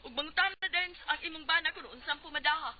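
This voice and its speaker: A woman's voice: high voice, fast, close to an everyday voice. A woman with a Cebuano accent playing a gossiping, teasing neighbour in a comic radio drama. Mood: gossipy, shocked. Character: gossiping, teasing female neighbour relaying insults. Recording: dull radio recording, faint noise.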